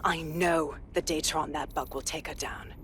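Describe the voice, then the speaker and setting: A stern, low-voiced police inspector. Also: female voice, fairly even intonation, fairly bright tone. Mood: resolute. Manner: grave, measured, resolute. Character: stern, determined female police inspector briefing an operative. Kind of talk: dramatic mission briefing in a video game. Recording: background noise and ambience.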